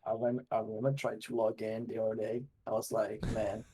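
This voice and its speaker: A man's voice: fairly low pitch, level tone, a little fast, mellow, slight background noise. A man co-hosting a casual podcast, laid-back and slangy, filling his talk with "like" and "man". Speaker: podcast co-host.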